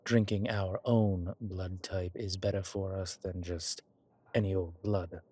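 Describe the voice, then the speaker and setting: A man playing a courteous, centuries-old vampire in an intimate audio roleplay, with refined, measured speech, hesitant pauses and formal, old-fashioned wording. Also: low voice, steady tone, warm tone, husky. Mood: gentle, matter-of-fact.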